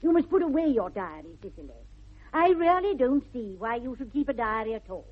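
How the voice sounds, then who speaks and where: Severe, self-important English governess, prim lecturing tone, husky, muffled vintage radio recording. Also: female voice, a little high, big pitch swings, a little fast. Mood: disapproving. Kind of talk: 1960s BBC radio comedy acting.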